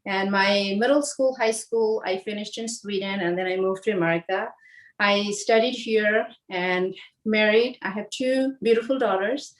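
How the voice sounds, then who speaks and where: A woman in her forties from Bangladesh, explaining home recipes in a casual, halting way with lots of fillers and restarts. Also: medium pitch, poor audio. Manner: hesitant, many fillers and restarts. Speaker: home cook guest. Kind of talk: casual cooking demonstration.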